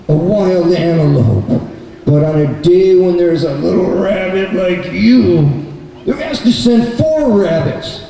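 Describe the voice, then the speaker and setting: A man's voice: high voice, warm tone, heavily acted. A man voicing a smug, mean, hungry lion king in a puppet show, bossy and self-satisfied, drawing out his words. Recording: very echoey noisy hall, distant mic.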